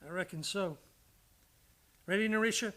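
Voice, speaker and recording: A man plays an earnest frontier husband in an old-time radio western. His voice is high and very bright, almost piercing, with folksy plain speech. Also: moderate pace. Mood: earnest, regretful.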